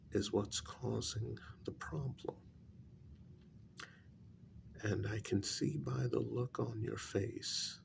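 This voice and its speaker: Man playing grave paranormal consultant, ASMR role-play, soft and breathy, heavy vocal fry, halting blunt delivery, noisy recording with clicks. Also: on the low side, full character voice. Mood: resigned, blunt. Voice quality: breathy, gravelly soft voice dropping near whisper. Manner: slow, halting, deliberate delivery with long pauses.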